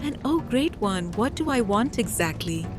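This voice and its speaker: An actress playing a proud, distrustful vampire matriarch in a dark fantasy audio drama, formal and cutting. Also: female voice, fairly high pitch, big pitch swings, moderate pace, music in the background. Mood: guarded, sardonic. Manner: imperious, biting, formal.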